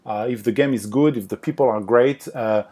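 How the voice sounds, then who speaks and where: A man's voice: mid-range voice, fairly quick, warm tone. A man with a French accent, a game designer talking as a podcast guest, with frequent fillers and restarts. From France. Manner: hesitant, many fillers and restarts. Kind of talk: podcast interview.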